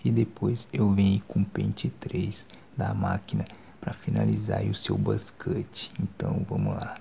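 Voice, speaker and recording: A young Brazilian man in his twenties doing a calm, informal ASMR barber roleplay, hushed and reassuring, close to the mic. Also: deep voice, a little slow, creaky, noisy background. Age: 20s. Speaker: ASMR creator playing a barber. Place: small decorated bedroom indoors. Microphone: phone microphone held close.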